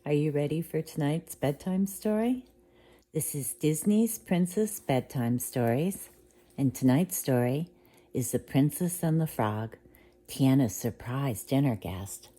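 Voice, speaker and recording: A woman reading a bedtime story aloud to a child, gentle and unhurried. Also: low-pitched, animated.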